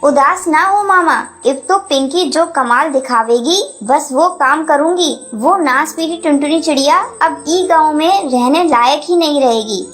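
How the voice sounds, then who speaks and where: A woman's voice: high voice, unhurried, few pauses, fairly bright tone, faint music behind. A woman voicing a spiteful, scheming young female bird villain in a cartoon, menacing and boastful. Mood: spiteful, gloating.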